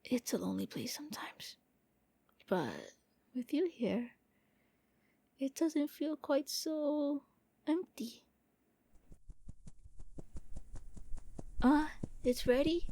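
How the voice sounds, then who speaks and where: A woman voicing a gentle, lonely young vampire prince in an anime-style ASMR roleplay, speaking softly and coaxingly with a playful, hesitant manner. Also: a little high, vocal fry, exaggerated voice. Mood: tender, wistful.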